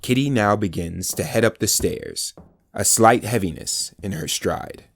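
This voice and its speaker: Low voiced guy narrating a scripted audio drama, reading out the action. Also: animated, a little slow, vocal fry, slightly rough.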